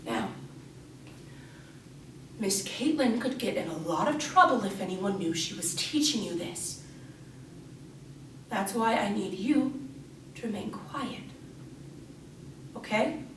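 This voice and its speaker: A woman's voice: on the high side, animated. Echoey, intense grade-school teacher, played by a woman. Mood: sweetly ominous. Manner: over-enunciated, addressing children, dramatic pauses. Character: grade-three schoolteacher, intense, paranoid, falsely sweet woman. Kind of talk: theatrical dramatic monologue. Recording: echoey room, distant mic, faint noise.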